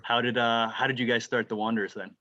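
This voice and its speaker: Male podcast host, mid pitch, muffled lo-fi sound, friendly questions. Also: flat delivery, bright, forceful tone. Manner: friendly questions, quick laughs. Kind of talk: casual interview hosting. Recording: dull, lo-fi audio.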